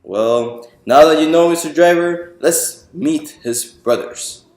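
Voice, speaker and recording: A man's voice: fairly high pitch, slow, darkish tone, faint music behind. Man reading storytime in an echoey room. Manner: explanatory, presentational, addressing listeners with questions. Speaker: author reading own picture book to an audience. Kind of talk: storytime read-aloud to an audience.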